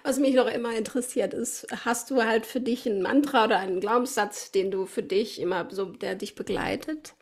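A woman's voice: a little high, brisk, hardly any pauses, slightly rough. A woman hosting a casual podcast interview, laughing often and speaking encouragingly. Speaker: podcast host.

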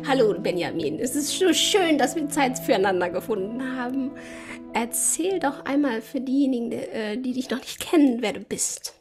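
A woman's voice: high-pitched, expressive, moderate pace, over music. A woman hosting a podcast, chatting casually with a guest. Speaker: podcast host. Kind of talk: casual interview chat.

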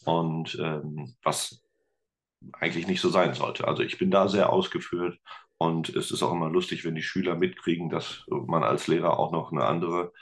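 A middle-aged man, a teacher and musician, talking casually as a podcast guest, relaxed and rambling with many fillers. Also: low-pitched, normal speed. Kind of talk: casual interview chat.

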